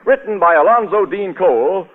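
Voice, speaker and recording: A man's voice: high-pitched, animated, normal speed, phone-quality sound. A man announcing in 1940s radio style with polished sales patter. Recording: band-limited vintage 1940s radio recording. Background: music.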